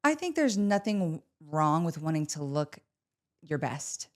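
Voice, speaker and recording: A woman's voice: sits low, very animated, measured pace, a little mellow. A woman in her forties, a guest on a podcast, upbeat and emphatic, laughing easily. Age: 40s. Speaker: guest, beauty practice director. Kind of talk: casual interview chat.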